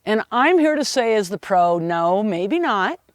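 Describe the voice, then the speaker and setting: A middle-aged woman ski instructor giving an opinionated lesson on equipment in a strong, loud, emphatic voice. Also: medium pitch, big pitch swings, a little slow, dry recording, studio quality. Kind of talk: instructional video talk.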